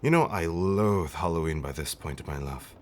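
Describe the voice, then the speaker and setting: Deep-voiced, doting vampire husband played by a man. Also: a little mellow, lightly acted. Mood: indignant storytelling. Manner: old-fashioned, genteel wording with dramatic pauses. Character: centuries-old male vampire husband, tender, doting, theatrically fussy. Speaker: audio roleplay performer. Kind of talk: intimate comfort roleplay, ASMR-like storytelling.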